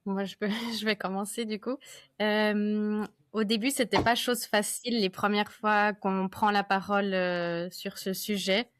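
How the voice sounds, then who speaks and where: Flat-voiced woman in her thirties. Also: mid-range voice, clean tone, no echo, clean studio sound. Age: 30s. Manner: measured, with fillers. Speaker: guest, association co-founder. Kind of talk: casual podcast interview.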